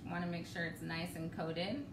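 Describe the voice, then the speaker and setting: A woman's voice: lowish voice, normal speed. Chatty woman cooking in a roomy kitchen. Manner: chatty, relaxed, laughs often. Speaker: cooking show host. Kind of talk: live cooking demo. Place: home kitchen, indoors. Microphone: camera across the kitchen. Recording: roomy, distant, noisy home kitchen.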